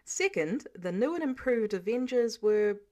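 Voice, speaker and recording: A woman reading scripted fiction aloud as an expressive storyteller. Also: fairly high pitch, measured pace. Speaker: audiobook reader of fan fiction.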